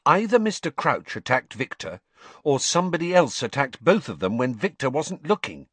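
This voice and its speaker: A man's voice: mid-range voice, sing-song, normal speed, a bit bright, husky, no room sound, pitched above the performer's own voice. A man voicing a clever, bossy teenage schoolgirl in an audiobook, precise and exasperated. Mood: analytical.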